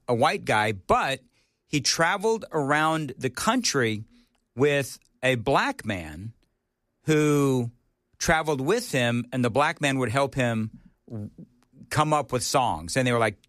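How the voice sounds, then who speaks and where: A man hosts talk radio in a loose, bantering way. His voice sits at an ordinary middle pitch and comes through very dry and close, with no room around it. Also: lively intonation, slow pace, fairly bright tone, dry recording. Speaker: radio talk show host.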